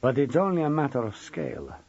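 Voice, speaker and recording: Guy reading as gaunt knowing psychic woman, slow n relentless, slightly husky, dull dry recording. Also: medium pitch, lively intonation, darkish tone. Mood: measured. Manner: slow, deliberate, unnerving. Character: gaunt Romanian woman psychic, older than she looks, relentless, knowing.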